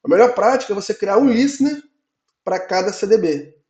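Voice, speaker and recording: Guy with a cold teaching databases, kinda high voice, roomy echo. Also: animated, a little fast, darkish tone. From Brazil. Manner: explains in repeated checks, ending phrases with "tá?". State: hoarse from a cold. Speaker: database instructor. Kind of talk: online technical lecture.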